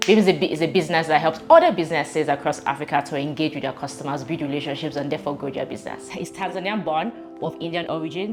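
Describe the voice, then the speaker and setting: A woman hosting an interview show, delivering a brisk, upbeat introduction. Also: sits low. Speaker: host. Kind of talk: podcast intro and interview questions.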